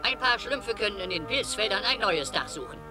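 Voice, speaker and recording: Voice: medium pitch, sing-song, a little fast, lightly acted. A performer voicing a kind, commanding, fatherly old male gnome in a cartoon. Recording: music behind the voice.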